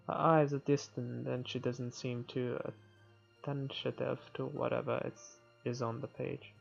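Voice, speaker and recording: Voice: mid-range voice, level tone, measured pace, gravelly. A game player reading visual novel narration aloud with offhand comments, now and then stumbling over words. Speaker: video game playthrough narrator. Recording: muffled recording with music behind. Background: game music.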